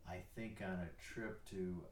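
A man's voice: low voice, steady tone, a little slow, a little mellow, echoey room, far from the mic, slight background noise. A retired older man chatting casually as a guest, self-deprecating and rambling a little. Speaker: guest, retired airline pilot. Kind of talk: casual chat.

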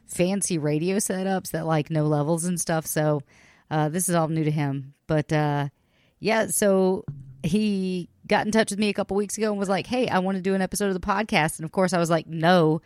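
A woman's voice: fairly low pitch, normal speed, a little mellow, no room sound, clean studio sound. A woman hosting a podcast in casual, chatty talk, teasing and giggling often. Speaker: podcast host.

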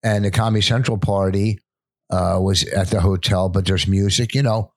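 A very deep-voiced older man talks in a loose, rambling way, recounting stories with false starts and filler. The sound is close and dry, with no room around it. He comes across as a working comedian in casual conversation. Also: fairly even intonation, moderate pace, no room sound. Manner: rambling storytelling with many restarts. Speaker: stand-up comedian co-hosting a podcast. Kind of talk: casual chat.

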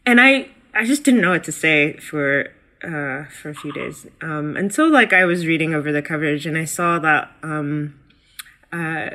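Bright-voiced animated woman co-host. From United States. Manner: animated, pitch jumps when excited, many fillers. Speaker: guest co-host. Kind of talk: casual podcast conversation.

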